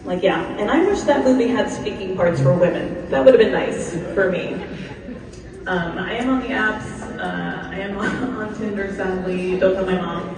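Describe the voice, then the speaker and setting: A woman's voice: medium pitch, normal speed. Woman doing standup, dry self-deprecating jokes, echoey hall, crowd murmuring. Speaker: stand-up comedian. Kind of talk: stand-up comedy set. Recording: echoey hall, mic heard from far off.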